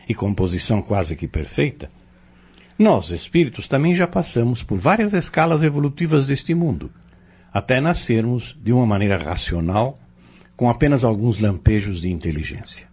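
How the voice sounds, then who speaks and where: A low, animated Brazilian male mentor acted by a man, earnest and didactic. He lays out his lesson in measured phrases and pointed questions, as in an audio drama, on a dull recording. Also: slightly rough. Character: earnest male Spiritist teacher explaining doctrine to youths.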